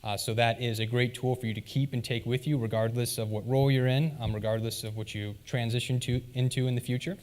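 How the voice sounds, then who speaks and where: A man presenting at a conference, speaking at an ordinary middle pitch. His delivery is easygoing and conversational, with plenty of "um"s as he explains to the audience. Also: quick pace, few pauses. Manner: relaxed and conversational, frequent "um"s. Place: conference hall stage.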